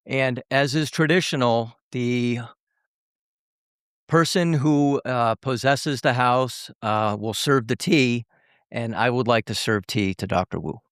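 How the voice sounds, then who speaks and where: A man's voice: medium pitch, slow, fairly bright tone, clear, resonant tone, no room sound. Male podcast host, close dry sound. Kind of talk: podcast interview.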